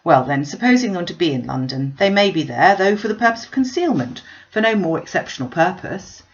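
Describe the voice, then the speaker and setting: A middle-aged gentleman uncle from a classic novel as a woman reader voices him. He reasons formally and hopefully, with animated pitch movement. The audio sounds somewhat dull. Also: a little fast. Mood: reasoning.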